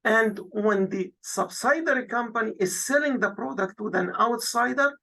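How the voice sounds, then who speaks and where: A woman's voice: on the high side, normal speed, fairly bright tone. Woman lecturing step by step. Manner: didactic, step-by-step, repeats points in parallel phrases. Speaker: accounting lecturer. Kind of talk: explanatory lecture, walking through examples.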